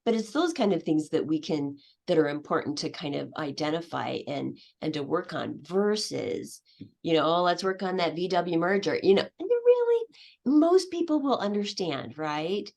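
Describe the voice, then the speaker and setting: A woman's voice: fairly low pitch, big pitch swings, normal speed, creaky, a little mellow. A woman giving a conference presentation on a video call, talking casually with many fillers and restarts. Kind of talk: casual presentation with audience questions.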